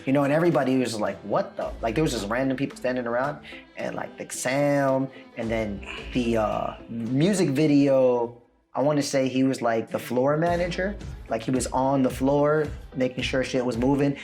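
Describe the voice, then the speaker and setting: A man tells a loose, animated story on a casual show, swearing freely and acting out what people said. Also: medium pitch, moderate pace, soft background music. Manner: animated, loose, swears a lot, acts out quotes. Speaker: co-host telling a story.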